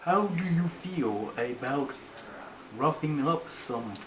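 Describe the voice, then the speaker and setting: Terry is an earnest, bossy turtle from a homemade puppet comedy, voiced by a man. He tells his tales very deliberately in stiff, formal wording. The voice sits a bit high and moves slowly, with clear noise on the recording. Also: lively intonation. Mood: solemn storytelling.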